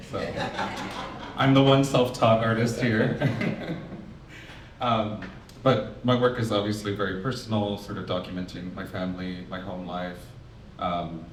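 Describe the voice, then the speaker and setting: A man speaking as an artist on a gallery panel, nervous and halting with many fillers. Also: sits low, a little hiss or hum. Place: art gallery. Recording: echoey gallery, mic far off.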